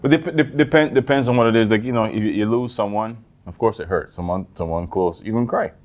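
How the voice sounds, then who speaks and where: A man's voice: on the low side, fast talker. A man co-hosting a podcast in casual, comedic banter, animatedly acting out bits with a velvety voice. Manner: animated, acts out quotes and sound effects.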